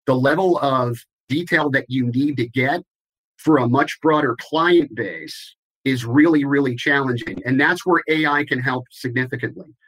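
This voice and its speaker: Measured man explaining compliance. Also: mid-range voice, fairly bright tone, husky, poor audio. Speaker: guest, compliance expert. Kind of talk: interview answers.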